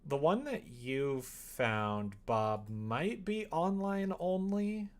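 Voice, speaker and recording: A man's voice: on the high side, very animated, takes it slowly. A man streaming live, chatting casually with viewers and rambling as he thinks aloud.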